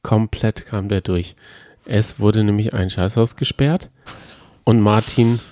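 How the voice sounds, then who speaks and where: A man's voice: on the low side, steady tone, slow pace, warm tone, no room sound, clean studio sound. A man chatting casually as a podcast co-host in a colloquial, teasing way. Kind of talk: casual chat about reality TV.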